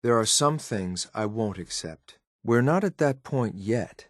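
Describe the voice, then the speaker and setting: An actor playing a stern, loyal synth enforcer in a video game, speaking in a clipped, formal, deferential military manner. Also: male voice, on the low side, animated, a little slow, dry recording, studio quality. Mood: stern, disappointed. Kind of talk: video game companion dialogue.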